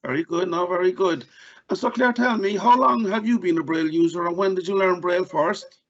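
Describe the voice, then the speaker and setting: An Irish man hosting a podcast in a casual, halting way, often restarting his sentences. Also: sits high. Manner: halting, with many restarts and fillers. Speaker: podcast host. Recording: dull, lo-fi audio.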